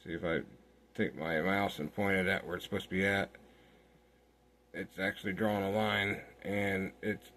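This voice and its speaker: A man giving an informal software walkthrough, thinking aloud in a halting way as he works. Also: low voice, little pitch movement. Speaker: software tutorial host. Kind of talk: informal screen-recorded walkthrough.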